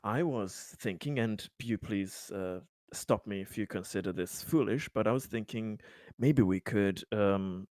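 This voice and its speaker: A man's voice: fairly low pitch, measured pace, darkish tone, dry recording, clean studio sound. A man improvising in-character dialogue in a tabletop roleplaying game, speaking hesitantly in polite, formal phrases.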